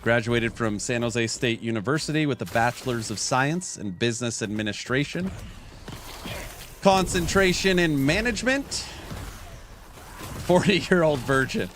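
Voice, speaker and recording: Late-30s male game streamer, unhurried, jokey chat-reading banter. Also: medium pitch, a bit bright, slight background noise. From California. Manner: jokey, reads viewer chat aloud and banters. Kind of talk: casual livestream chat while gaming.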